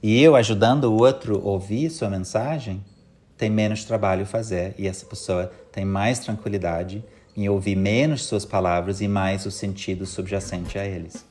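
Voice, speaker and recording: Low-voiced English man, thoughtful and measured. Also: steady tone, darkish tone, a little hiss or hum. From England. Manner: thoughtful, measured, pauses and restarts mid-phrase. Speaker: guest, conflict mediator. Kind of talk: reflective interview answers.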